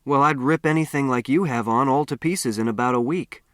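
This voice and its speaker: A man's voice: medium pitch, a little fast, fairly bright tone, dry recording, studio quality, voice pushed up, natural voice. A man playing an earnest, self-reliant runaway boy from New York in an audiobook, plain-spoken and matter-of-fact.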